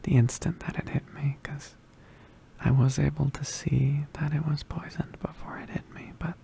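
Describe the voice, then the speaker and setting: A man playing a badly injured, weary young male witch in an ASMR roleplay, murmuring haltingly in a weak, pained voice. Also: a little high, steady tone, creaky, mellow. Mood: weary, explaining. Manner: halting, hesitant, murmured, understated. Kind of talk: ASMR roleplay, soft intimate whispering.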